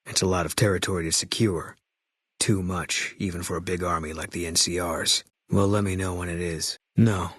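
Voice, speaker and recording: An actor playing a terse, bitter ex-army sniper in a video game, speaking in clipped, blunt lines. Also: male voice, sits low, steady tone, moderate pace, fairly bright tone, dry recording, studio quality. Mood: laconic. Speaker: companion character in a video game. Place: recording studio. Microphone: close studio microphone.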